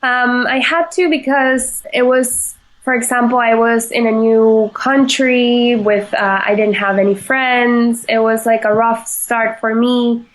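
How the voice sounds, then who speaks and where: A young woman from Venezuela, a chef answering interview questions in a halting way, with many fillers and restarts. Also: on the high side, steady tone, rough recording. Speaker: chef, podcast guest.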